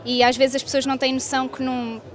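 A woman's voice: high voice, flat delivery, quick pace. A teenage girl with a European Portuguese accent, hesitantly presenting her school's project into a handheld mic at a fair. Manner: hesitant, with fillers. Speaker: student. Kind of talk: interview answer. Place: outdoor fair stand. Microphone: handheld reporter mic.

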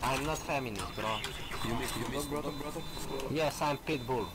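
A man from Serbia with a Serbian accent, a native Serbian speaker trading insults in halting, broken English over headset voice chat during an online game. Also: mid-range voice, a loud crowd.

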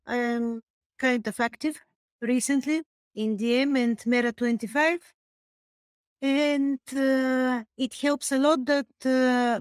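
A middle-aged woman with a Bulgarian accent, speaking in halting, deliberate phrases as a guest on a video call. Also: fairly high pitch, smooth, no echo. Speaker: interview guest, activist. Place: indoor room, video call.

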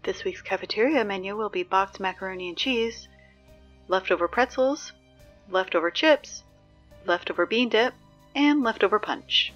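A woman playing a chatty, exasperated high school morning announcer in a scripted audio drama, conversational and wry with hesitant asides. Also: normal speed, fairly bright tone, dull audio, faint music behind, natural voice. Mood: brisk announcing.

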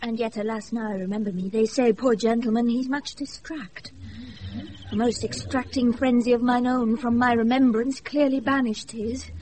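A woman's voice: a little high, steady tone, normal speed, darkish tone, close to an everyday voice. An actress playing a commanding yet compassionate lady of the house in a British radio Shakespeare, formal and poised, on a noisy off-air recording. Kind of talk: 1980s radio Shakespeare acting.

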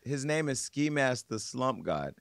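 A man speaks close into a studio mic with a very dry sound and a middle-pitched voice. He hosts in a casual, slangy way, sassy and mocking as he comments on viral news. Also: very animated, unhurried, creaky, dry recording. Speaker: podcast host. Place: podcast studio. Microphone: close studio microphone on desk.

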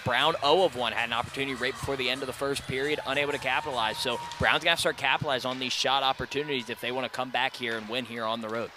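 A man gives quick hockey analysis at a fast clip, his voice sitting at a middle pitch. He breaks down the game briskly as loud music plays behind him. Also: steady tone. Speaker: color commentator.

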